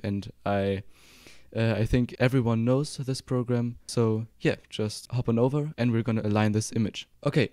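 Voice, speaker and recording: A man's voice: mid-range voice, darkish tone, no room sound, studio quality. A young adult man from Germany with a German accent, explaining a 3D modeling tutorial in an upbeat, instructive way. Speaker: Blender tutorial YouTuber. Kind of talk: screen-recorded tutorial voice-over.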